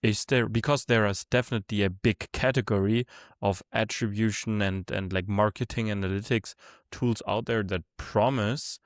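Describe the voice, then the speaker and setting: A man hosting a conversational interview, picking his words haltingly as he forms questions. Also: lowish voice, fairly even intonation, no room sound, clean studio sound. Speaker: podcast interviewer.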